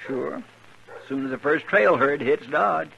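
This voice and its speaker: A man playing an excitable, grumbling but loyal cowboy sidekick with a folksy, fussy Southern drawl in a 1950s radio western. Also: on the high side, unhurried, gravelly, full character voice. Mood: folksy, idle. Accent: American Southern drawl. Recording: dull, noisy old radio recording.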